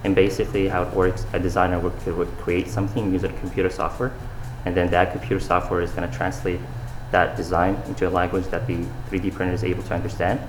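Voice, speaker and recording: Young male guest, deep monotone delivery, echoey room with audible noise behind. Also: fast talker. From Saudi Arabia. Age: young adult. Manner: earnest, talks in loose fillers and restarts. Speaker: interview guest, fashion designer. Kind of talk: casual interview answers.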